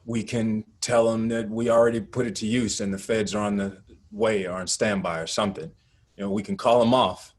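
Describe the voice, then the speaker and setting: A man playing a pragmatic drama leader with a low, husky voice. He is measured and matter-of-fact, used to giving orders. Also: fairly even intonation, natural voice. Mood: calculating. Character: pragmatic, commanding male activist leader Gus, planning a bluff. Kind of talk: screenplay table read acting.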